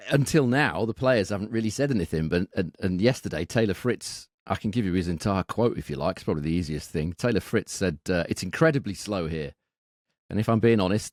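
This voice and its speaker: Very deep man, hesitant. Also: no echo, studio quality. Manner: hesitant, repeats words, thinks aloud. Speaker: podcast co-host. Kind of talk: casual betting analysis.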